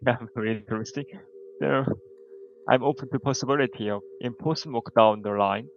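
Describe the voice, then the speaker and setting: A man's voice: mid-range voice, brisk, vocal fry. A man answering job interview questions over a video call, hesitant, with short answers and long fillers. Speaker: job applicant. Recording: dull lo-fi video call audio.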